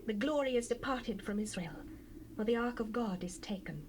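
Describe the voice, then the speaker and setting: A woman's voice: on the high side, normal speed, a little mellow, natural voice. An actress playing a dying, grief-stricken young mother in a dramatized Bible recording. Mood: grieving, fading. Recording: noisy raw cassette rip.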